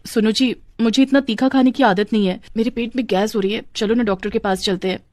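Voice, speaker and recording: A woman's voice: on the high side, fairly even intonation, quick pace, a little mellow, dry recording, clean studio sound, lightly acted. Woman voicing a meek, distressed young daughter-in-law. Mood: pleading complaint. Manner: complaining, pleading.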